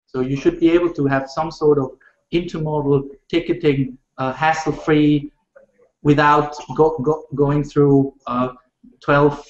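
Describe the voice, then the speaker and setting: A man from Switzerland, a rail industry panelist speaking in a measured, halting way with many fillers. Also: medium pitch. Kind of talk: panel discussion over video call. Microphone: video call. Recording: roomy, muffled video call audio.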